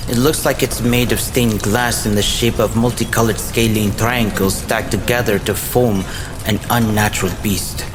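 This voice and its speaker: A man's voice: lowish voice, lightly acted. An actor playing a troubled, battle-worn male narrator in an audio drama, given to muttered curses. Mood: weary, somber. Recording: loud music bed under the voice.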